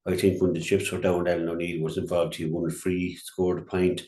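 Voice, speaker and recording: An Irish man giving an unscripted sports match review as a radio pundit, halting and repeating his words as he goes. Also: low-pitched, flat delivery, a little mellow. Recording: roomy, echoey sound.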